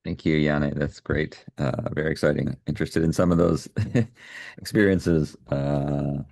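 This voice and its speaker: A man's voice: a bass voice, fairly even intonation, unhurried, creaky, a little mellow, no room sound, studio quality. A man moderating an online session, brief and upbeat.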